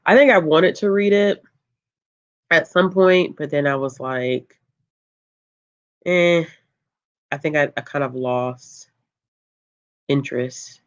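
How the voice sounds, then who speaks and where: A book channel host chatting casually on a livestream, reading out viewer comments in a calm, low voice, relaxed and laughing often with lots of ums. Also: slow, long pauses, muffled recording.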